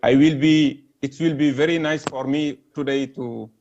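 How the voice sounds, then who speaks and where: Halting Senegalese man with gravelly voice. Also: mid-range voice, fairly even intonation, a little mellow. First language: French. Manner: hesitant, many fillers and restarts; earnest, apologetic about his English. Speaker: panel speaker. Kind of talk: halting live-stream talk. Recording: dull lo-fi stream audio.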